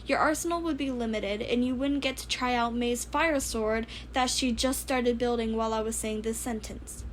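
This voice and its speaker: A woman's voice: a little high, normal speed, slight background noise, lightly acted. A woman voicing an earnest, quirky, skeptical teenage boy gadget builder, chatty and questioning, in a story reading. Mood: earnest, wary.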